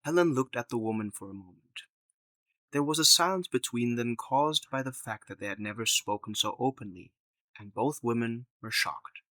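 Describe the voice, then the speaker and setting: A man's voice: mid-range voice, pushed and bright, no echo. A man narrating a scripted drama story, reading in the third person as narrator.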